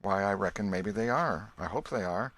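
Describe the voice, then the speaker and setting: A man reading an audiobook, voicing a brave, tender young boy in a gentle, reassuring, earnest way. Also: lowish voice, moderate pace, no echo. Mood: steady, hopeful. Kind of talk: audiobook character voice.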